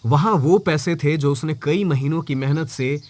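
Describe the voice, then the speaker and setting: A man's voice: on the high side, normal speed, darkish tone. A man narrating a scripted moral story as a dramatic storyteller.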